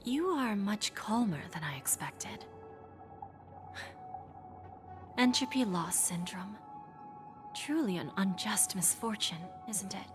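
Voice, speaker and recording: A woman acts out a poised game character, a dealmaker who trades wishes for a price. Her mid-range voice is theatrical and controlled, with a knowing, calculating air. Music plays clearly behind her. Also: mid-range voice, expressive, natural voice. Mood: smug, probing. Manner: measured, theatrical, knowing delivery. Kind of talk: video game character dialogue.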